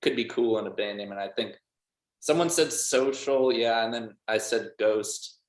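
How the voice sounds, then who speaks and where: A man's voice: mid-range voice, normal speed. A man who makes music, chatting casually as an interview guest in a roomy, echoing recording. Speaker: band member and music producer, interview guest. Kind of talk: casual chat. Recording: roomy, echoing room sound.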